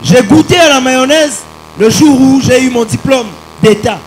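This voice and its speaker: A man's voice: extremely high pitch, moderate pace, a little hiss or hum, rough recording. A man telling a nostalgic story as a radio show contestant. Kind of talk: anecdotal answer on a radio show.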